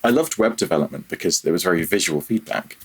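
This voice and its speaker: Very deep-voiced man, slightly husky, candid. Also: lively intonation, fast. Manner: candid, self-deprecating, wry. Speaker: podcast guest, audio software developer. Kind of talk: casual interview talk.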